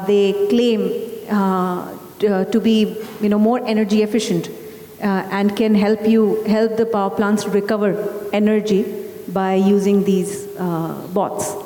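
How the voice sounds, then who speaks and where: A woman investor speaking on a panel, pausing often with fillers as she explains her views. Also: mid-range voice, warm tone, reverberant, in a large hall. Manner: hesitant, many fillers. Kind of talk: panel discussion.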